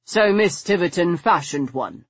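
A woman voicing a calm, courteous, learned man in a storybook, speaking in a soothing, measured way. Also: lowish voice, breathy edge, no room sound, highs cut off, exaggerated voice. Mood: matter-of-fact.

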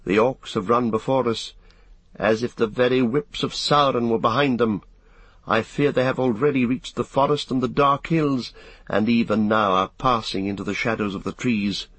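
A man's voice: mid-range voice, fairly even intonation, normal speed, a bit bright, breathy edge, dry recording, voice pushed up, poor audio. A man voicing a lofty male elf in a fantasy audiobook, speaking in solemn, formal, archaic phrases. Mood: uneasy, grave. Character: lofty, keen-eyed male elf, urgent and foreboding.

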